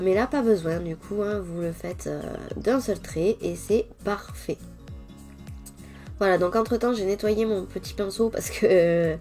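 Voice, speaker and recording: A French woman with a stuffy head cold, chatting lively and directly to viewers while showing a nail tutorial. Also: mid-range voice. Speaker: nail technician. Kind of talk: casual tutorial vlog.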